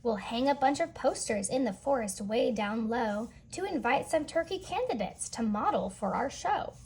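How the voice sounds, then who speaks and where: A woman's voice: fairly high pitch, expressive, normal speed, darkish tone, slightly rough. A woman voicing an enthusiastic, scheming townsman in a storybook, declaiming his plan in emphatic rhyme. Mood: eager.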